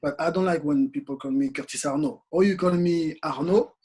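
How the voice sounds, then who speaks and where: A man from Congo, raised in Paris, talking as an interview guest in halting English, tired after a workday. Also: on the high side, breathy edge. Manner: halting non-native English, soft-spoken. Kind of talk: casual interview conversation.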